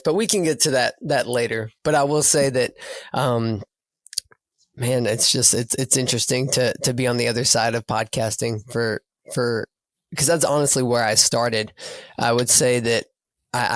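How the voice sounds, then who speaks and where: Raspy-voiced man, earnest and reflective. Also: medium pitch, no echo. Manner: earnest, reflective, repeats words as he thinks. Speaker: coach and podcast guest. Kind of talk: casual podcast interview. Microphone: video call.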